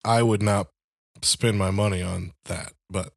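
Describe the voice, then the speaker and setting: A man's voice: fairly low pitch, fairly even intonation, a little slow, vocal fry, no room sound, clean studio sound. A man co-hosting a podcast, joking and swearing in casual chat. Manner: joking, profane, fond of "you know what I'm saying". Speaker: podcast co-host.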